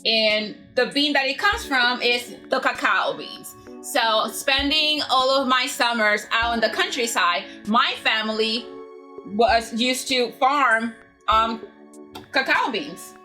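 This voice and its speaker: A woman's voice: fairly high pitch, takes it slowly, bright, forceful tone, soft background music, rough recording. A young woman from Ecuador, chatting casually as co-host and sharing fun facts with frequent laughs. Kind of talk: casual chat.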